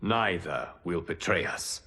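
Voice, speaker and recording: An actor playing a calm, wise guardian god in a video game, speaking formally with measured authority. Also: male voice, low voice, background noise. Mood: firm, advising. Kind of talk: video game cutscene acting.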